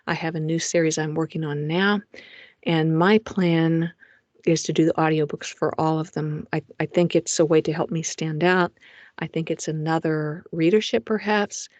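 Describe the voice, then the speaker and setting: A woman's voice: low voice, darkish tone, no room sound. A middle-aged woman, a romance author, presenting her publishing plans over slides in a chatty way, with frequent fillers and restarts, recorded in a home recording booth.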